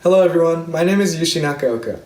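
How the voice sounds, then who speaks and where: A man's voice: a little high, lively intonation, quick pace, a little mellow, echoey room, soft background music. A man around twenty, a student from the United States, giving an earnest, reflective prepared speech. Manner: earnest, reflective, reading a script. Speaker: student speaker.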